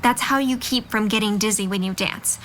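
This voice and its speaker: A woman's voice: medium pitch, moderate pace, a bit bright, a little hiss or hum, close to an everyday voice, rough recording. A woman acting a kind, encouraging young friend in an audio drama, speaking with patient, sincere reassurance. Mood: patient, cheerful.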